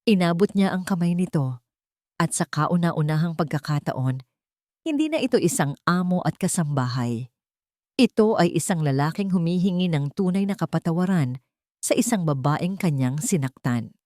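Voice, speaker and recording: A woman's voice: lowish voice, animated, normal speed, darkish tone, no room sound, studio quality. A woman reading a scripted dramatic love story as an audiobook narrator.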